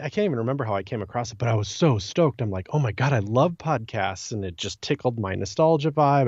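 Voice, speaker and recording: A man's voice: mid-range voice, a little mellow, no echo, muffled recording. A man chatting casually as a podcast guest, enthusiastic and rambling, with lots of "like" sprinkled through his sentences.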